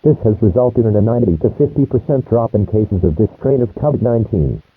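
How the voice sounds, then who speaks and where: Government announcer, played by a man: lowish male voice, formal and grave, scripted emergency message, narrow muffled broadcast sound. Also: lively intonation, quick pace, slightly rough, dry recording, faint music behind. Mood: solemn, official. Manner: formal, solemn. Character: solemn government announcer reading a presidential emergency note.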